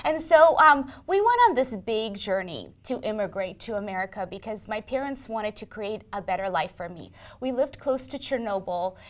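A woman from Ukraine telling her story as an interview guest, in a lively casual manner with frequent ums and small laughs. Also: medium pitch, moderate pace, few pauses. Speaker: interview guest, engineering manager.

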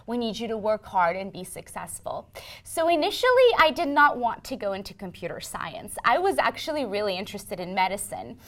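A woman from Ukraine telling her story as an interview guest, in a lively casual manner with frequent ums and small laughs. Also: sits high. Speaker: interview guest, engineering manager.

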